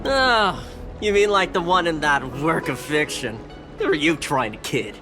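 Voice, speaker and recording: Smug, scoffing young shopkeeper. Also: male voice, on the high side, expressive, unhurried, music in the background. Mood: mocking. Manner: scoffing, smug, exaggerated exclamations. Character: cocky, greedy young male shopkeeper, sneering but cowardly. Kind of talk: video game character dialogue.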